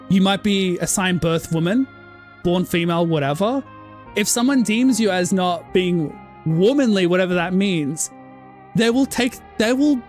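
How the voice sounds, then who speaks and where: A woman's voice: on the low side, a little mellow. A woman game streamer on a casual livestream, ranting with passion, leaning on "like" and cutting herself off to restart. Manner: impassioned ranting, heavy use of "like"; self-interrupting restarts.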